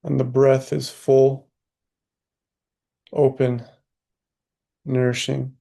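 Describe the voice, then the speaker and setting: A man leading a guided meditation as a teacher, unhurried and leaving long pauses. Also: medium pitch, completely flat delivery, vocal fry, mellow.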